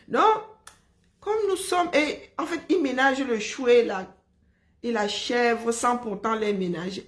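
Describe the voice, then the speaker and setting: A woman from Cameroon with a Cameroonian French accent, chatting casually and telling lively stories, often breaking into laughter. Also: mid-range voice. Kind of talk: casual chat.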